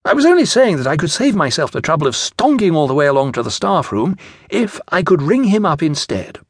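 A man's voice: fairly high pitch, sing-song, brisk, no room sound, studio quality, pitched above the performer's own voice. A man voicing an eager, impulsive eleven-year-old British schoolboy, enthusiastic and insistent in a children's audiobook. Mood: eager, explaining.

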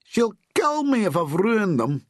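Man playing a high-pitched, flustered young detective. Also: normal speed, dry recording, studio quality. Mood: forlorn, whining. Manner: stammering, pleading. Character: flustered, apologetic, whining young male detective constable.

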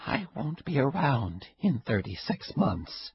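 A man voicing a frail, stubborn elderly grandmother with a sharp tongue, in a husky raised voice. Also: medium pitch, sing-song, slow pace, no echo, pitched above the performer's own voice, heavily acted. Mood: resigned. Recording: dull lo-fi recording.

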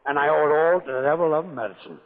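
An actor playing a grumpy husband getting tipsy on medicine in a 1940s radio play. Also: male voice, fairly high pitch, rapid-fire, a crowd in the background, lightly acted. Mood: tipsy, merry. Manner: gruff then slurring and merry. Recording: tinny 1940s radio broadcast, faint hiss.

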